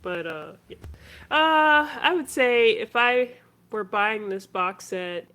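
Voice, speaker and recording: A woman co-hosting a podcast, chatting casually in halting, hesitant phrases. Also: a little high, lively intonation, bright, forceful tone. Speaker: podcast co-host.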